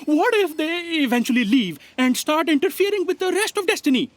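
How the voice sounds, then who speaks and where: A woman's voice: sits high, very animated, brisk, dry recording. A woman playing a sardonic, put-upon office aide in a comic sci-fi audio drama, sarcastic and exasperated. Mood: worried.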